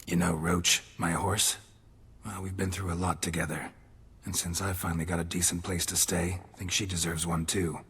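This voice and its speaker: A man playing a gruff, world-weary monster hunter in a video game, husky and gravelly, terse and dryly understated. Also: sits low, level tone, moderate pace, a bit bright, big-room sound, heavily acted. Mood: matter-of-fact.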